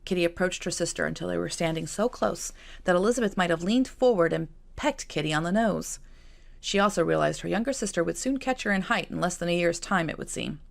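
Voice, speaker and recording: A woman's voice: fairly low pitch, fairly quick, vocal fry. A woman reading her own novel as scripted audiobook narration.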